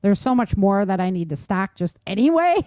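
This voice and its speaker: Female podcast host, medium pitch, informal and emphatic, easy laugher, very dry sound. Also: sing-song, normal speed, dark tone, dry recording. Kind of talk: casual survival-tips discussion.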